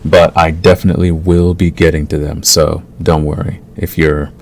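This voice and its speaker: A man's voice: rumbling low, level tone, normal speed, a little mellow, a little hiss or hum. A man hosting his audio channel, chatting to listeners in an informal, self-effacing way with some swearing. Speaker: audio roleplay creator, channel host. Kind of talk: casual outro chat to listeners.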